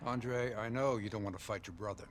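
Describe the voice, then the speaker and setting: A man acts as a cool, accusing boxing insider in a game cutscene. His low voice is measured and pointed, with noticeable noise behind it. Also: fairly even intonation, close to an everyday voice. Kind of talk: dramatic game cutscene acting.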